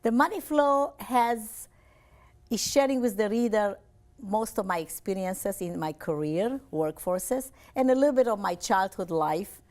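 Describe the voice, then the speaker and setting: A woman's voice: medium pitch, lively intonation, measured pace, darkish tone. A woman from Romania, a life coach giving an earnest, upbeat television interview and often repeating her phrases.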